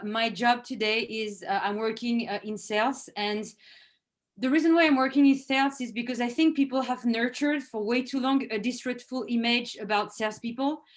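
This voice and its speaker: A woman, a head of sales, giving an earnest presentation over a video call. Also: on the high side. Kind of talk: virtual presentation. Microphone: video call microphone.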